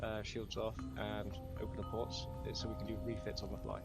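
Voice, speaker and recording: A man's voice: on the low side, monotone, fairly quick, vocal fry. A man instructing in air traffic control roleplay, using procedural radio-style callouts. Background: music.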